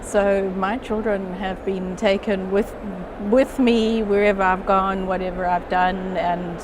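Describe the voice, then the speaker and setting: A woman from Zambia in her fifties, chatting frankly and lively in an interview. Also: mid-range voice, unhurried. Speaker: interviewee, geneticist. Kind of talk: casual interview chat. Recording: loud outdoor noise.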